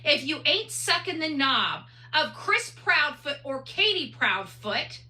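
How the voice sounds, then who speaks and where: An echoey recording of a woman with a very high, piercing voice. She rants confrontationally, brash and sweary, with big swings in pitch. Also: sing-song, slow pace, sharp tone. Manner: brash, foul-mouthed, emphatic. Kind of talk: confrontational rant. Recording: echoey room sound.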